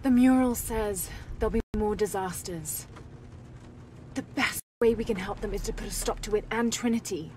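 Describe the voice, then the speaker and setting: A woman's voice: moderate pace, close to an everyday voice. An actress playing a determined, earnest young woman adventurer in a video game cutscene. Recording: loud vehicle and storm noise behind. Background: aircraft cabin rumble.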